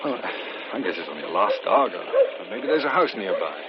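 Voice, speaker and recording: Husband with widely swinging pitch. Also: male voice, big pitch swings, a little fast. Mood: uneasy. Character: reassuring husband, sensible but increasingly rattled. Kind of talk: 1940s radio mystery acting. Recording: noisy, muffled vintage radio drama recording.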